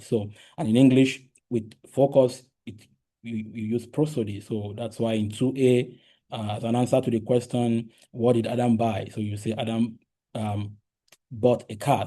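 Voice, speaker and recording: A man's voice: mid-range voice, dark tone. A man whose first language is Yoruba, giving an academic linguistics talk with many fillers and restarts and frequent "right?" check-ins. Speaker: linguistics researcher presenting a talk. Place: lecture room.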